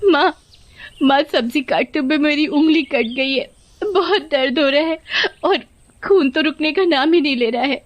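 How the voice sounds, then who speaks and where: A woman's voice: high voice, normal speed, voice pushed up, lightly acted. A woman voicing a meek, mistreated young wife who speaks in a plaintive, tearful, pleading way. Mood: crying, pained.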